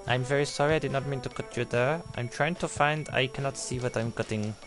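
A young man streaming a game, putting on a mock German-style accent that drifts into a made-up one, swearing and shouting in excitement. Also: mid-range voice, fairly quick, music in the background. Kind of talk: live let's-play commentary.

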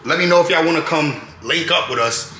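A man's voice: a little high, fairly quick, sharp tone. Laid-back man touring an echoey apartment. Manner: laid-back, repeats phrases, keeps asking "you know what I'm saying". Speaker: vlogger giving an apartment tour. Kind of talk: casual slangy walkthrough. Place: rental apartment, indoors then rooftop. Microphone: handheld camera held close. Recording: echoey rooms with clear background noise.

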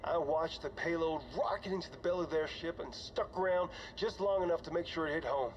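An actor playing a weary, older starship commander, speaking in a halting, conversational way for a science fiction game audio log. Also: male voice, on the high side, lightly acted. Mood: relieved, awed. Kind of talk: dramatic audio log acting. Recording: dull, noisy cockpit log recording.

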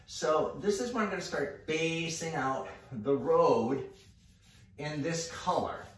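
Middle aged guy doing a painting tutorial, real echoey room, kinda far from the mic, thinks out loud. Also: a little high. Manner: relaxed, halting, thinking aloud while working. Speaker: mural painter presenting a tutorial. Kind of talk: casual painting demonstration. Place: indoor room, painting at a wall. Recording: echoey room, distant mic, faint noise.

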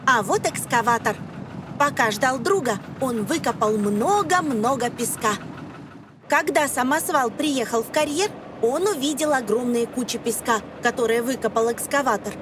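A woman's voice: on the high side, expressive, moderate pace, noisy background. A woman reading scripted narration for an educational children's cartoon, explaining things in simple phrases addressed to young listeners.

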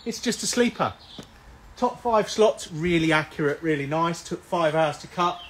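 A man's voice: on the high side, expressive, normal speed, a bit bright. A middle-aged man with a cold, hosting a live barbecue cook outdoors in a cold garden, chatty and jokey, filmed on a handheld phone. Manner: chatty, jokey, rambling with ums. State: sniffly with a cold, coughing. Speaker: barbecue cooking host. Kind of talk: casual live cooking stream. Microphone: phone camera held by another person. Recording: outdoor background noise. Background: outdoor ambience.

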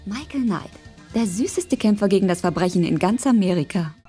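An actress playing an adoring, teasing young doctoral student in a German audio play. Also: female voice, medium pitch, animated, brisk, mellow, husky, soft background music. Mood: teasing adoration.

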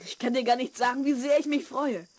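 A woman playing a curious, excitable twelve-year-old boy in a children's audio drama, wide-eyed and eager. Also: high voice, a little fast, a little hiss or hum, natural voice. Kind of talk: 1970s German children's audio drama acting.

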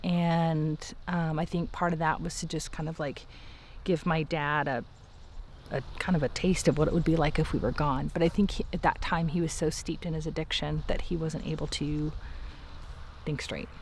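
A man's voice: fairly high pitch, flat delivery, normal speed, a little hiss or hum. A young man, hesitant and thoughtful, recalling his past in a documentary interview.

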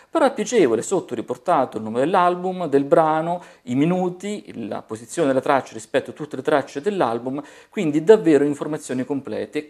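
A man's voice: mid-range voice, a little mellow. A man from Italy hosting a hands-on product review, explaining features in an informal, chatty way. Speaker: tech reviewer, video host.

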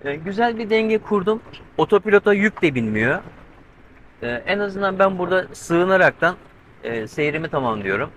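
A middle-aged man talks to a handheld camera from the covered cockpit of his sailboat at sea, explaining each step in a chatty way with lots of fillers. His voice sits a little high and sounds bright and crisp. He is tense from watching the weather, and a faint noise of wind and water runs behind him. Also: lively intonation, normal speed. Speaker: solo sailing vlogger. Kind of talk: casual vlog talk to camera. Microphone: handheld camera with mic on a pole.

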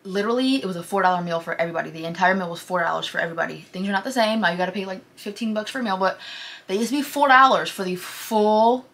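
A woman's voice: medium pitch, racing, a bit bright. A woman of twenty chatting to her phone camera from a parked car, gushing and casual, often saying y'all. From Michigan. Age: 20s. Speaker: vlogger.

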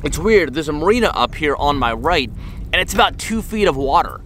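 A man's voice: on the high side, lively intonation, a little fast, fairly bright tone. Folksy man vlogging over faint outdoor noise. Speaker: fishing show host. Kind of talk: casual enthusiastic vlog talk. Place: open boat on a lake.

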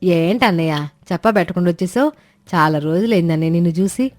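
A woman's voice: fairly low pitch, quick pace, a little mellow, natural voice. Woman as a stern, long-suffering mother-in-law. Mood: surprised welcome. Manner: admonishing, resigned.

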